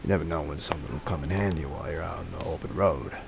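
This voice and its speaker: A man acts out a weary old man with a very low, gravelly voice. He is a kindly retired salesman and widower who talks in a plain, folksy way, as in a comic dub drama. There is clear noise behind him. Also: racing. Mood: reflective. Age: 60s. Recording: clearly noisy background hiss and ambience.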